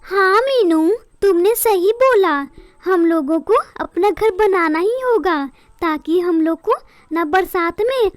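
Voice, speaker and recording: A woman's voice: very high-pitched, a little mellow, no echo. A woman voicing a kind, forgiving young female bird in a moral-story cartoon, earnest and reassuring.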